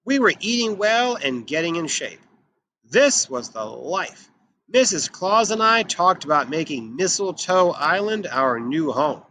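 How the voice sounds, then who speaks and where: Animated, bright-voiced man reading to children. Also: a little high, unhurried, a little hiss or hum. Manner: animated, emphatic delivery. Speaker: children's storybook reader. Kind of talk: expressive read-aloud of a picture book for children.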